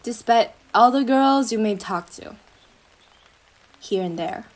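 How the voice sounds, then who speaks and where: A woman's voice: a little high, rough recording. A woman playing a young, obsessive yandere girlfriend in a roleplay monologue, syrupy and menacing, speaking intimately to her partner with a jealous intensity. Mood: possessive, adoring. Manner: slow, sugary endearments swinging into intense jealous outbursts. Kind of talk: dramatic roleplay monologue addressed to the listener.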